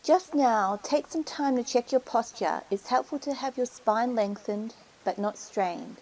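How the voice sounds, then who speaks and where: A woman leading a guided meditation, giving soothing, unhurried instructions with pauses between phrases. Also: a little high, darkish tone, rough recording.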